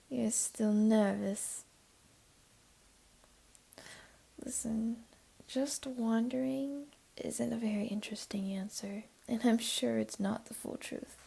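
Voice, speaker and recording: A woman voicing a flirty, teasing, comforting jaguar beastling in an intimate ASMR roleplay, speaking softly and coaxingly in a half-whisper. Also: a little high, gravelly, husky, exaggerated voice. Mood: reassuring, teasing.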